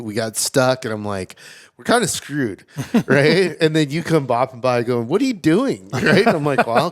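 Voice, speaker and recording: A man hosting a podcast interview, talking in a relaxed way full of fillers like "you know". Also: medium pitch, no echo, studio quality. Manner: relaxed, filler-heavy, admiring. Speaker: podcast host. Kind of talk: casual interview.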